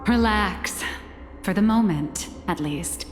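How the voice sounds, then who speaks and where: A woman's voice: mid-range voice, slow pace, long reverb tail, faint music behind, natural voice. A woman playing an easygoing, teasing female confidante in a video game, speaking casually and unhurriedly. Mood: wry, relaxed.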